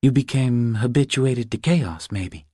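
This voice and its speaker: A man voicing a nervous, inquisitive woman in an audiobook, with soft breathy delivery and measured questions. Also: mid-range voice, no echo, clean studio sound, pitched above the performer's own voice. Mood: probing. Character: nervous, inquisitive well-spoken woman, wife and mother.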